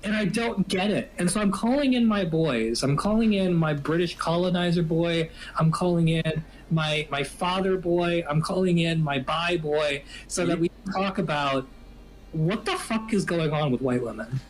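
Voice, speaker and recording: A man in his twenties co-hosting a podcast in casual chat, ranting with animated profanity and repeating phrases for emphasis. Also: fairly high pitch, moderate pace, slight background noise, low-quality recording. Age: 20s. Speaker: podcast co-host.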